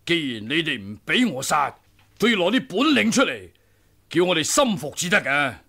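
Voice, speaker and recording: A man's voice: fairly high pitch, big pitch swings, normal speed, sharp tone, heavily acted. An actor playing a combative martial-arts master in his sixties in a Hong Kong Cantonese radio drama.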